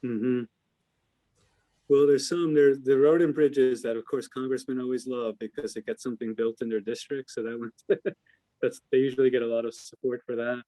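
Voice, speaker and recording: A man's voice: mid-range voice, level tone, moderate pace, mellow, no room sound, low-quality recording. An American man giving informal analysis as an investment adviser answering questions on a call, hesitant and hedging as he thinks aloud.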